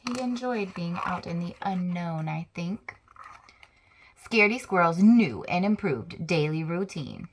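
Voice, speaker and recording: A young adult woman reading a children's picture book aloud, lively and expressive, with chatty asides to young listeners. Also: fairly low pitch, unhurried, a little hiss or hum.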